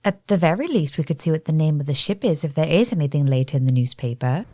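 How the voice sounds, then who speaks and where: An actress playing a genteel, romantic young bride-to-be in a radio play, with gushing, proper period diction. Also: female voice, low-pitched, lively intonation, quick pace, mellow, dry recording, studio quality. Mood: eager, curious.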